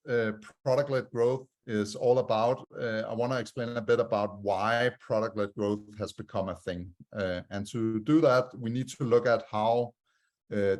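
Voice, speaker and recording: A man with a Danish accent presents a talk over a video call and fills it with many "uh" pauses. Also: fairly low pitch. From Denmark. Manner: many "uh" fillers, measured delivery. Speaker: guest speaker.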